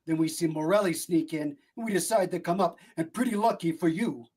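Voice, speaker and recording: A man's voice: on the high side, a little fast, a little mellow, husky. A man playing a blunt, bossy homicide inspector in a vintage radio mystery. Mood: suspicious, pressing. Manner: blunt, tough interrogating cop talk. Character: brusque, bossy male homicide police inspector.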